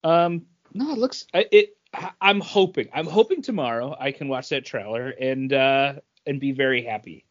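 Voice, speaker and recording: A man with a medium-pitched voice talks casually as a podcast co-host. He is loose and halting, feeling for his words, and the recording sounds a little dull. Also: lively intonation. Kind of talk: casual chat.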